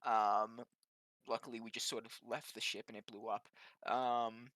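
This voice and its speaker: A woman's voice: rumbling low, little pitch movement, moderate pace, fairly bright tone, no room sound. A woman chatting casually as a player in a tabletop game. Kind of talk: casual chat.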